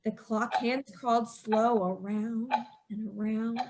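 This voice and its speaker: A woman's voice: a little high, steady tone, slow, a little mellow, slight background noise. A woman acting a distraught, secretive wife in an old-time radio horror play, telling her tale with dramatic, emphatic repetition. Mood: hushed dread.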